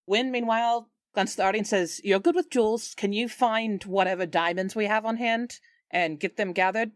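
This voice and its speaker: A woman's voice: mid-range voice, steady tone, a little fast, no echo. Woman roleplaying at a dry-sounding game table. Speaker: player in a tabletop roleplaying podcast. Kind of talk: casual roleplay and read-aloud narration.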